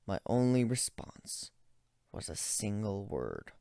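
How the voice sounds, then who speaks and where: A man narrating a dramatic story as an amateur reader, in a brooding, deliberate, theatrical way. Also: lowish voice, huge pitch swings, gravelly, dark tone, no room sound, studio quality.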